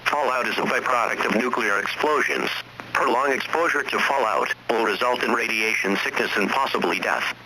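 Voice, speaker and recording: A man's voice: on the low side, sharp tone. A man reading a scripted emergency alert in a formal, impersonal manner. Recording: noisy radio-style emergency broadcast.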